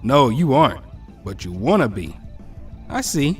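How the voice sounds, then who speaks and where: A man's voice: medium pitch, very animated, unhurried, soft background music. A man acting a brash, teasing teenage boy in an audio drama, slangy and needling, recorded at a distance from the mic.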